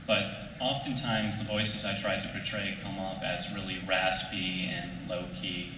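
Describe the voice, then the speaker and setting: Low-pitched man in an echoey hall. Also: little pitch movement, measured pace, pushed and bright. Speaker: audience member asking a question. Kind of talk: casual spoken question. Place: convention panel room. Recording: echoey noisy hall from far off.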